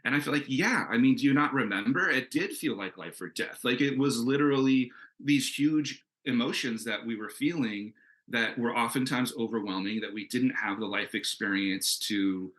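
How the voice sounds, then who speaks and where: A man's voice: medium pitch, normal speed, fairly bright tone. A man talking casually as a comics creator on a panel, in an enthusiastic, rambling way.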